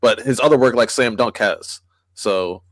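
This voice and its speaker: A man's voice: mid-range voice, measured pace, a bit bright, no room sound. A man co-hosting a podcast, talking enthusiastically and rambling with many "like"s. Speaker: podcast co-host. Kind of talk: casual chat.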